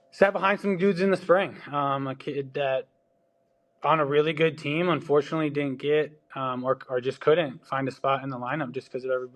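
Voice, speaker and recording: A man with a mild Wisconsin accent, talking casually as a baseball scout on a podcast. His delivery is loose and meandering, full of ums and false starts as he runs through players. He sits at an ordinary middle pitch. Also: rough recording. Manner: loose, filler-heavy talk with frequent restarts.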